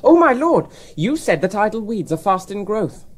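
A performer playing a cheeky, quick-witted little boy prince in a Shakespearean audio drama, pert and teasing. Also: mid-range voice, sing-song. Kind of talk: Shakespearean radio drama acting.

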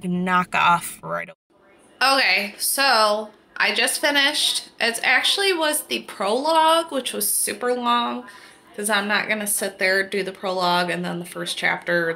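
A woman's voice: fairly high pitch, creaky, bright, forceful tone. A young woman with a congested, stuffy voice, chatting casually about books to a phone camera, rambling with lots of fillers. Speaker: book vlogger. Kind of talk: casual book vlog chat. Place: bedroom, then a parked car, then a room at home. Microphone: phone or webcam at arm's length.

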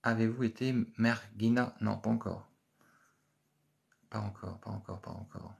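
Gravelly, low-voiced man chatting on a live stream. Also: steady tone, darkish tone. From France. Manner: chatty, repeats himself, many filler pauses. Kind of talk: casual live-stream chat, reading and answering viewer comments. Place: small office indoors. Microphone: phone camera at arm's length.

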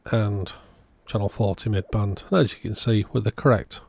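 A man's voice: on the low side, moderate pace, gravelly, a little mellow, no echo. A man giving a casual, matter-of-fact workbench narration as the host of an electronics repair video.

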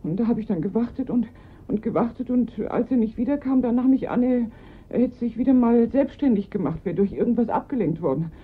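An actress playing a frantic, pleading mother of a kidnapped boy in a German radio crime drama, breathy, urgent and halting. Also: a little high, lively intonation, phone-quality sound. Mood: shaken, halting.

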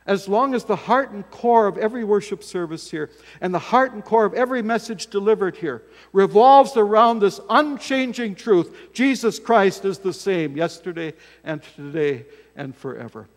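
A man's voice: high-pitched, lively intonation, normal speed, hardly any pauses. An older male pastor preaching a folksy, self-deprecating sermon from the pulpit of a church sanctuary, filmed by a camera across the room. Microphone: fixed camera from across the church. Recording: long church reverb.

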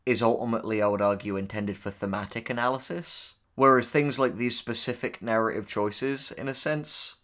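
A man in casual discussion as a podcast co-host. His voice sits at a middling pitch, and he works through his arguments aloud in a conversational manner. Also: moderate pace, few pauses, a bit bright. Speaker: podcast co-host and audio drama writer.

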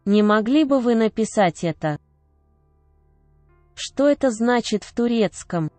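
A woman reading short phrases aloud one at a time, each clearly enunciated and measured. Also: a little high, expressive, mellow, dry recording, soft background music. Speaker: Russian phrase reader. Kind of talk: slow repeated phrase reading.

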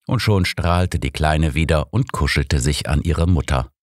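Deep-voiced male audiobook narrator. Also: a little slow, no room sound, clean studio sound. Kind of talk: scripted first-person story narration.

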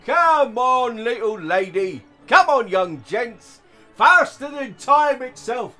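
A man's voice: very high-pitched, sing-song, takes it slowly, pushed and bright, soft background music, pitched above the performer's own voice, full character voice. A man voicing a sinister, wheedling fairground showman who coaxes children with a mocking sing-song. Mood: coaxing.

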